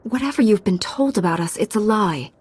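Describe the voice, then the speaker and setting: A woman's voice: mid-range voice, expressive, a little fast, a little mellow, slightly rough. An actress playing a confident young female Jedi knight in a video game, speaking firmly and directly. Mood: defiant. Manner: firm, direct, assured.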